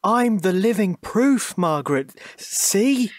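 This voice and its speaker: An actor playing a gentle, anxious husband in a radio drama, speaking in a hesitant, halting way. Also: male voice, high voice, lively intonation, no echo, studio quality. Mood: pleading, halting. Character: gentle, patient, anxious husband pleading with his partner.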